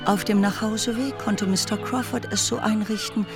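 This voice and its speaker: A man narrating a classic romance novel for a radio play in a measured, articulate storytelling manner. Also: high-pitched, steady tone, music in the background. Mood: measured, observant.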